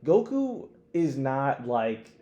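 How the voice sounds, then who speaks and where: Mellow young man, slangy and profane. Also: mid-range voice, sing-song, slow pace, dull audio. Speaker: podcast co-host. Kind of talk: casual opinionated fan chat.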